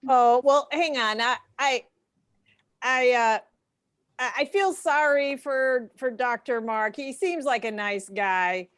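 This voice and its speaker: A woman playing a hesitant, soft-hearted game show contestant who talks in a halting, apologetic way. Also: sits high, smooth. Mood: hesitant, sympathetic. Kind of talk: improvised comedy.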